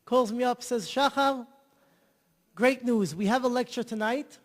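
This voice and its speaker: High-pitched guy telling a story all excited, long echoey hall. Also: unhurried. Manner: enthusiastic, repeats himself. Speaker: guest, charity organizer. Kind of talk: storytelling in a TV interview.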